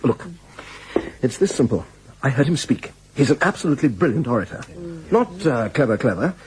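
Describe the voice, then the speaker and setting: Man acting hearty, animated German war hero. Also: mid-range voice, a little fast, noisy background, close to an everyday voice. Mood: blustering, admiring. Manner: hearty, urbane, laughs readily. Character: bluff, jovial male German war-hero major, socially confident. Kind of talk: radio drama acting.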